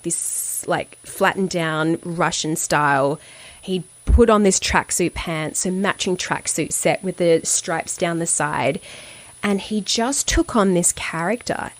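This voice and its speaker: A woman's voice: lowish voice, dry recording. An Australian woman telling a story in casual conversation, acting out the quoted dialogue as she goes. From Sydney, Australia. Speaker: actor and voice-over artist.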